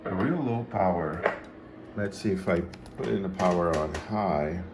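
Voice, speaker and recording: Low, gravelly man, slow and halting. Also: over loud music. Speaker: radio hobbyist demonstrating equipment. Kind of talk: hands-on demonstration, unscripted.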